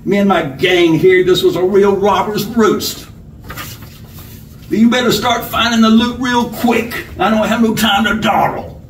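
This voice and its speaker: A man voicing a swaggering, menacing highway robber with a rough, folksy, bullying way of talking, in a storybook reading. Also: steady tone, measured pace. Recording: roomy, distant, noisy home recording.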